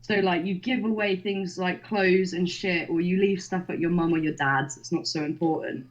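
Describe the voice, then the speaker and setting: A young woman talks as a podcast guest, eager and chatty, leaning on "like" as she tells her story. Her voice sits a little low. The recording sounds dull and lo-fi. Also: normal speed. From Poland, raised in the UK. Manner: excited, chatty, fillers of "like".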